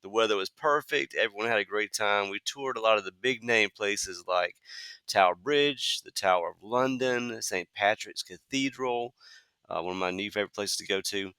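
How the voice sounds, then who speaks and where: Slightly hoarse, bright-voiced podcast host man. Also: on the low side, normal speed, dry recording. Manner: conversational, frequent "uh" fillers. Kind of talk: solo podcast monologue.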